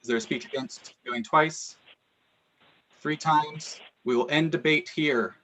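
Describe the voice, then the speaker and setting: A man chairing an online political meeting, calling briskly for short speeches for and against candidates. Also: on the high side, background noise. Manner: brisk procedural calls for speeches.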